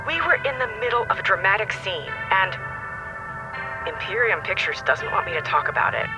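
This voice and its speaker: A woman playing a dramatic leading-lady actress, telling her story earnestly and haltingly in a TV interview. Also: medium pitch, very animated, over music, natural voice. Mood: insistent, mysterious. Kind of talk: TV talk show interview acting in audio drama.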